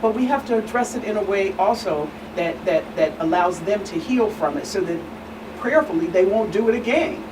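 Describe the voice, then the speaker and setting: A woman speaking as an expert guest on a panel, deliberate and emphatic, repeating phrases for stress. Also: medium pitch. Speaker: guest expert, attorney and pastor. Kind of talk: panel discussion, earnest explanation. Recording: distant mic, clearly noisy room hum.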